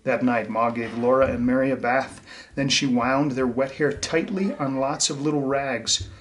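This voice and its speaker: A man with a mid-pitched voice reads aloud for children in a simple, explanatory way. He speaks directly to his young listeners, as a teacher would. There is some noise and clicking on the recording. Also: steady tone, moderate pace, rough recording. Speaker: teacher reading a picture book aloud to children.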